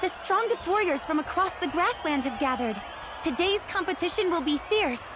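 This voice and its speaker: A festival announcer in a game, proclaiming to all. Her voice is very high, set in noisy ambience. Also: expressive, moderate pace. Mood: proud, rousing. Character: festival announcer proclaiming a contest of grassland warriors.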